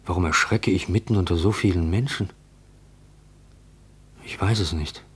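A man playing an uneasy, watchful narrator in a radio play, introspective, questioning and deliberate. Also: low-pitched, slightly rough. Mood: uneasy, searching. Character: uneasy, watchful male narrator shadowing a stranger. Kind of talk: German radio play monologue.

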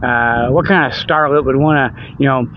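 A man's voice: mid-range voice, moderate pace, lo-fi sound, a little hiss or hum. An older man hosting a hobby radio show, rambling in a folksy, joking, meandering way. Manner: folksy, meandering, chuckles at own jokes. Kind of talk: rambling casual monologue, joking. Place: outdoors by a river.

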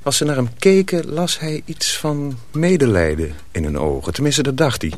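A man's voice: mid-range voice, expressive, normal speed, no room sound. A man narrating a radio drama from a script as a storyteller.

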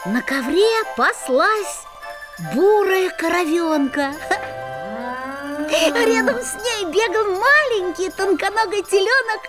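A woman voicing a little storybook narrator, telling a children's fairy tale in an expressive scripted way. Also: very high-pitched, takes it slowly, loud music behind the voice, exaggerated voice. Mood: storytelling.

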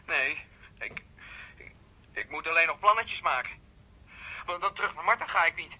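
Volatile, husky, sharp-voiced jilted boyfriend. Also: male voice, fairly high pitch, animated, normal speed, full character voice, poor audio. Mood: calmer, hesitant. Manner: agitated, stammering, repeating himself. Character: volatile jealous young man in therapy, jilted boyfriend. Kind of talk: naturalistic radio play acting.

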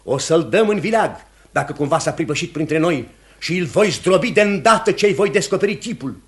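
An actor playing a commanding pastor who hunts the devil, speaking in an emphatic, oratorical radio theatre manner. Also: male voice, fairly high pitch, lively intonation, fairly bright tone. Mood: fervent, declaiming. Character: commanding, certain male pastor hunting witchcraft and the devil.

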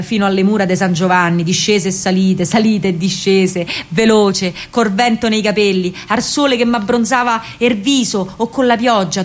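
A woman's voice: mid-range voice, natural voice. An actress playing a spirited young working-class Roman woman, a wartime partisan courier, telling her story in an earthy, vivid dramatic monologue with a Roman accent. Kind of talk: dramatic first-person monologue in Roman vernacular.

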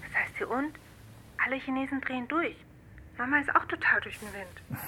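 Brisk, pushy young sister, piercing high voice, radio drama phone call. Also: female voice, pushed and bright, a little hiss or hum. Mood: urging. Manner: brisk, nagging, persuasive. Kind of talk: naturalistic radio drama acting.

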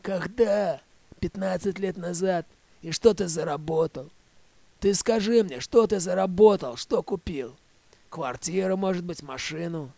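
A man's voice: high-pitched, measured pace, creaky, mellow, no echo, full character voice. A man voicing a scornful woman in her thirties who nags her man with blunt, scolding questions in an audio play. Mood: angry, berating.

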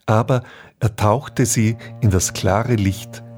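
A man narrating a literary radio play in a measured, scripted style. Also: on the low side, steady tone, darkish tone, faint music behind.

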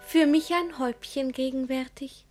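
A woman's voice: sits high, steady tone, moderate pace, faint music behind. An actress playing a naive, obedient young girl who answers in artless, simple phrases. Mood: innocent.

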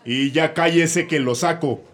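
A man's voice: a little high, measured pace. A man playing a bossy, corrupt male judge in a Mexican radio comedy sketch. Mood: stern. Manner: bossy, exasperated.

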